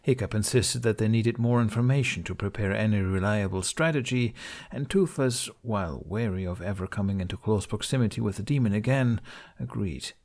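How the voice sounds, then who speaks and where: Male audiobook narrator, fairly low pitch, expressive scripted storytelling for fantasy fiction. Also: fairly quick.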